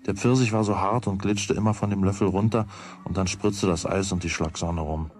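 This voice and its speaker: A man's voice: sits low, fairly even intonation, a little fast. A man narrating a children's radio play in a scripted storytelling style.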